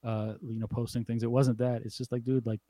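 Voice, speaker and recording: A man co-hosting a casual chat about hip hop, relaxed and thoughtful, often murmuring agreement. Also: medium pitch, a little fast.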